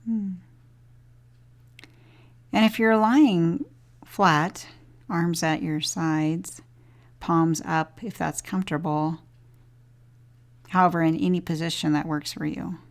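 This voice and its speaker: A woman leading a guided body scan meditation as a teacher, giving gentle, unhurried instructions with long pauses. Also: on the low side, fairly even intonation.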